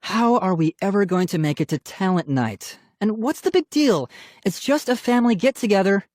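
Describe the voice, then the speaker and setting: A woman's voice: low voice, sing-song, normal speed, dark tone, no room sound, clean studio sound, voice pushed up. A woman voicing an exasperated mother in a children's audiobook, firmly scolding squabbling children. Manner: firm, scolding.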